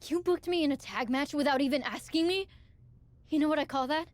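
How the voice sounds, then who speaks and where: A woman's voice: sits high, brisk, no echo, lightly acted. An actress playing a plucky, principled young female pro wrestler in a video game cutscene, earnest, emphatic and colloquial. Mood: intrigued.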